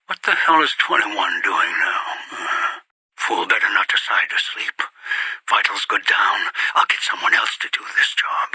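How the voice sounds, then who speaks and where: An actor playing a bitter, obsessive, paranoid old man in a video game, with a strained raspy voice and theatrical delivery. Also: deep voice, animated, unhurried, sharp tone, dry recording, heavily acted, rough recording. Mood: irritable, scheming. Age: older. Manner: theatrical, muttering to himself, swinging into desperate outbursts. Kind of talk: dramatic video game voice acting.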